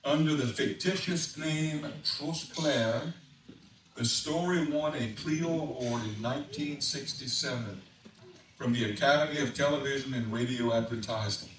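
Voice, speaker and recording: A man's voice: mid-range voice, measured pace. A man reading aloud to a gathering in a folksy, dramatic way. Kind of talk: animated live storybook reading. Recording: echoey room, distant mic, noisy.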